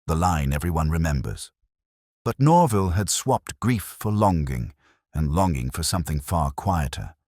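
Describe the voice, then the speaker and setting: A man narrating a first-person detective story in a measured, brooding way, with deliberate pauses. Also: low-pitched, sing-song, darkish tone, dry recording, studio quality.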